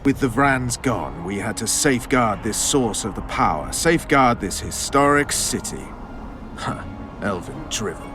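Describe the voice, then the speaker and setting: A blunt soldier with lively pitch. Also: male voice, unhurried. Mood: argumentative. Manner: blunt, argumentative, scornful. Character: gruff, foul-mouthed male soldier, stubborn and cynical. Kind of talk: video game voice acting. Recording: music behind the voice.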